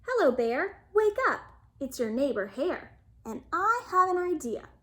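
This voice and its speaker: A high, lively voice for a clever storybook hare, put on by a woman reading aloud. He is an upbeat, smooth-talking schemer, selling his deals with big swoops of pitch and unhurried, stretched words. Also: exaggerated voice. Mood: eager, persuasive. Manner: persuasive, upbeat, salesman-like.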